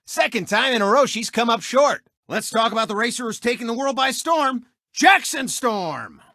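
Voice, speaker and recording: An actor voicing a cocky, gloating race car turned sportscaster in a video game, with a boastful, mocking announcer delivery. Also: male voice, extremely high pitch, expressive, moderate pace, bright, forceful tone, dry recording, clean studio sound, exaggerated voice. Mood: announcing.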